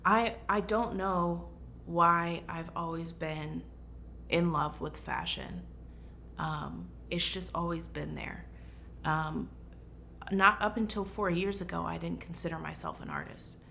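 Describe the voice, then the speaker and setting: A woman's voice: lowish voice, fairly even intonation, takes it slowly, gravelly, bright, forceful tone, a little hiss or hum. A woman who grew up in Portugal, speaking earnestly and thoughtfully as a brand founder and designer in an interview. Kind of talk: reflective interview answers.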